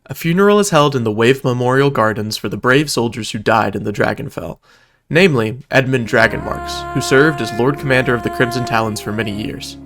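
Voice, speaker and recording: A man's voice: medium pitch, brisk, fairly bright tone, faint music behind. A man narrating a scripted comedic recap in a wry, informal, mock-epic storytelling way. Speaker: video narrator.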